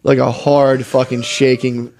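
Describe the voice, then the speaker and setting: A man's voice: medium pitch, level tone, measured pace, darkish tone. A man co-hosting a comedy podcast in casual, profane, slangy banter, peppered with "dude.". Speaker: podcast co-host.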